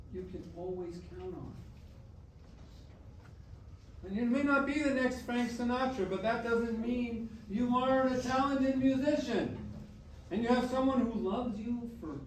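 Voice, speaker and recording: A man's voice: extremely high pitch, a little mellow, slight background noise. Man playing a tender old grandfather, distant and echoey. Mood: concerned, tender. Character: loving grandfather's ghost, old man, gently reassuring. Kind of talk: staged musical reading, spoken and sung. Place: live staged reading in a hall. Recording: echoey hall from far off, piano accompaniment. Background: piano music.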